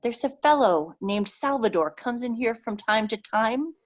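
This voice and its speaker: Woman reading priest in his thirties, measured, discreet, quiet, storybook reading. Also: fairly high pitch, expressive.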